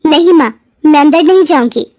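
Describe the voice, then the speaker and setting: A woman's voice: high voice, fairly quick, a little mellow, no echo, close to an everyday voice. A woman voicing an earnest, emotional young stepdaughter, dutiful but brave, in a moral story. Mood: defiant.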